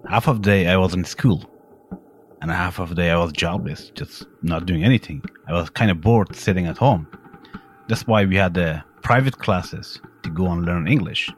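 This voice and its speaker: A man's voice: sits low, normal speed, slight background noise. A middle-aged man from Afghanistan with an Afghan accent, a Dari speaker recounting his own story plainly and directly. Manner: plain, direct storytelling with uh fillers and simple grammar. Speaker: former interpreter, guest.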